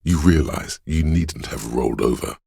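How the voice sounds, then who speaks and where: Very deep, stern voice of willpower. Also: male voice, animated, vocal fry, mellow, breathy edge, dry recording, studio quality, heavily acted. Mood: dry, cautioning. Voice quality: very deep, solemn. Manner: measured, grave, admonishing, dryly wry. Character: stern, protective inner voice of willpower, male, middle-aged. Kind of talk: scripted game narration in second person.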